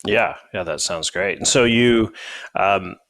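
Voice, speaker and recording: A man hosting a podcast interview, talking in a relaxed, chatty way with many fillers and restarts. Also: low-pitched, slow, no room sound. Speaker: podcast host. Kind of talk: casual interview.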